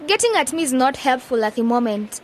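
High-voiced assertive young woman. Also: animated, fairly quick, a little hiss or hum. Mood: exasperated. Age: young adult. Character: sharp young woman, planning a community radio station. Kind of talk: radio drama acting.

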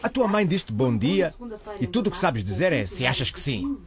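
Stern father with very animated delivery. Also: fairly high pitch, moderate pace, crowd noise. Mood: indignant. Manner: harsh, commanding. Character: stern, short-tempered father scolding his family. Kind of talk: radio drama acting.

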